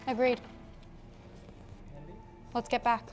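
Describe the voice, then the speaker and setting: A woman's voice: a little high, little pitch movement, slow pace, a little mellow, soft background music. A woman player in a tabletop role-playing game, casually telling the table what her character will do. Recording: clear background noise.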